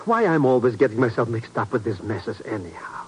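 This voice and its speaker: An actor in a 1950s radio drama playing a jumpy, complaining small-time hustler sidekick who stammers naively. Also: male voice, mid-range voice, animated, fairly quick, natural voice. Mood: grumbling. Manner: hesitant, stammering, grumbling, naive. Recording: noisy vintage 1950s radio recording.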